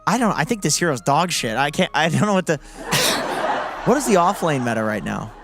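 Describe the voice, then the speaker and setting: A man's voice: a little high, big pitch swings, brisk, over music. A man on an esports analyst panel, joking around and swearing casually. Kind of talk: casual studio panel banter.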